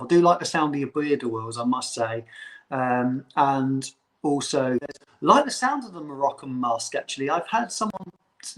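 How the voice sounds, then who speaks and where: A man's voice: medium pitch, a bit bright, poor audio. A man hosting a live-stream interview, chatty and eager with his questions. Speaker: host.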